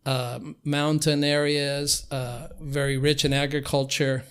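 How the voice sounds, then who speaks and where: An older man from Cuba, a native Spanish speaker, reminiscing conversationally as an interview guest with halting phrasing and frequent pauses. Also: a little high, little pitch movement, clear, resonant tone.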